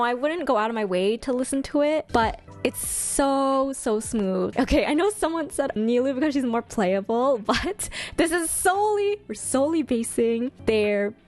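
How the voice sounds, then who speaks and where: A woman streamer chatting casually with her viewers, commenting in an expressive, gushing way and thinking her choices through out loud. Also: high-pitched. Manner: expressive, gushing, deliberating aloud. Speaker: game streamer and host.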